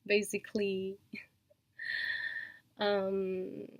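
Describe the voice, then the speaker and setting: A woman from Colombia with a Colombian Spanish accent, a Spanish native speaker, talking in a casual personal vlog, hesitant and full of fillers and soft laughs. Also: medium pitch, monotone, very slow, no echo. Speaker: vlogger and online shop owner.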